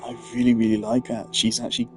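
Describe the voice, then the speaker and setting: A man comments casually on a game he is playing. His mid-range voice stays very even, almost monotone, with music clearly heard behind him. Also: mid-range voice, quick pace. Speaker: gamer commenting on playthrough.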